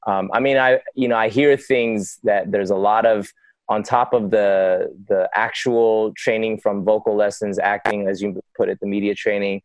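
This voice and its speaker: Rambling male interviewer, low voice. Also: fairly even intonation, normal speed, clean tone, dry recording, low-quality recording. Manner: rambling questions, frequent fillers. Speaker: interviewer, host. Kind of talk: casual interview questions.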